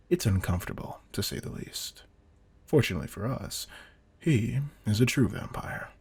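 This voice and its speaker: A man playing a veteran vampire hunter in an audio drama, a controlled, intense mentor who speaks in a deliberate, commanding, quietly menacing way. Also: low-pitched, exaggerated voice. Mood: grim, instructive. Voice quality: gravelly, husky. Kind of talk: scripted roleplay audio drama.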